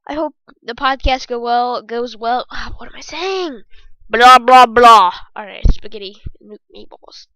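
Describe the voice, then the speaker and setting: A young woman with a squeaky voice hosting a casual gaming podcast from her bedroom, rambling and joking as she talks. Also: unhurried, sharp tone, clear, resonant tone, no echo, rough recording. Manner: rambling, jokey, self-correcting. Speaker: podcast host.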